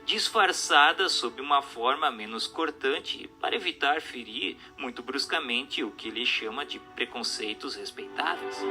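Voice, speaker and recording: A man's voice: a little high, pushed and bright. A man reading a doctrinal text as dramatic, formal, declamatory scripted narration. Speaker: narrator of an audiobook radio drama.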